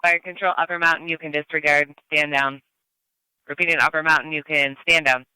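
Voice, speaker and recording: A man's voice: a little high, level tone, brisk, pushed and bright, clean tone, no echo, low-quality recording. A man working as a fire dispatcher, giving clipped, procedural announcements over two-way radio and repeating details. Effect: two-way radio transmission. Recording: dry radio scanner feed.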